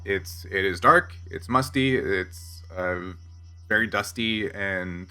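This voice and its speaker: A man improvising narration as game master of a casual tabletop role-playing game, pausing to find his words. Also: deep voice, pushed and bright, smooth. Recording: faint music underneath.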